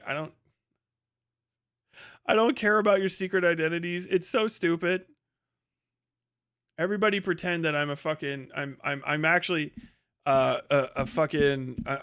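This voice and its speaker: A man's voice: fairly high pitch, a bit bright, clear, resonant tone. A man hosting a livestream, giving casual commentary and ranting in a sarcastic, mocking, heavily profane way. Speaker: livestream host. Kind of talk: casual reaction commentary, reading posts aloud and ranting.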